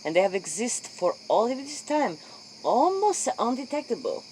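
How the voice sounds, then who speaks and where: A man acting as an earnest interviewer in a comic role-play, speaking deliberate broken English. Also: extremely high pitch, big pitch swings, a little mellow, rough recording. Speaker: interviewer in character. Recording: faint noise, crickets behind.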